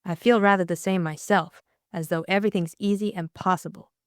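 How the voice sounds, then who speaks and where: A woman voicing a witty, teasing young Englishwoman, dry and brisk. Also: lowish voice, steady tone, dry recording, natural voice.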